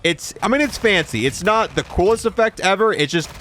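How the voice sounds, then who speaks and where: A man's voice: sits high, animated, fairly quick, fairly bright tone, a little hiss or hum. A man hosting a gaming video with casual, playful gameplay commentary in an informal way.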